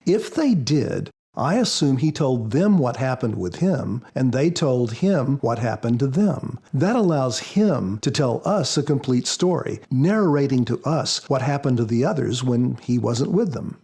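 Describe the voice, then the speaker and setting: A man speaking as a guest author in a podcast interview, explaining in a measured, prepared style. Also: mid-range voice, lively intonation, warm tone, husky.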